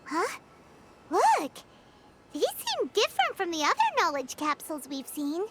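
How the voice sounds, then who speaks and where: A woman voicing a tiny, excitable fairy-like girl companion who talks in chirpy exclamations. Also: extremely high pitch, a little slow, heavy background noise, full character voice. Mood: excited.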